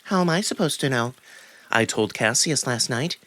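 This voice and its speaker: A man's voice: medium pitch, animated, normal speed, pushed and bright. A man voicing a sly, wounded male cat in a visual novel, haughty and evasive, with formal, indignant phrasing. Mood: evasive, defensive. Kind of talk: visual novel character acting.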